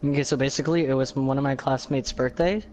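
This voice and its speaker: A young man chatting casually during a game in a playful, teasing, somewhat halting way. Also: mid-range voice, steady tone, a little mellow. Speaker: player chatting in a multiplayer game. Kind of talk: casual chat while gaming. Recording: music behind the voice. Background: music.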